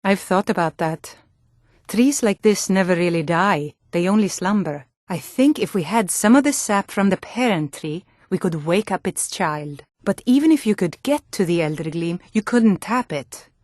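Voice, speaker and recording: Actress as sturdy Nord woman, fantasy game dialogue, lowish voice, earnest, deliberate, dry close recording. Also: lively intonation, a little mellow, dry recording, natural voice.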